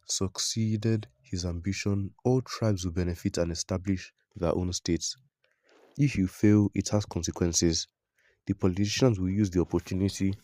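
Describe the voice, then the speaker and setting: A man reads a news report in a low, mellow voice. His delivery is steady and scripted, recorded dry and close with no room sound. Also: dry recording. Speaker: news narrator. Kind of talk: scripted news narration, reading out quotes and comments.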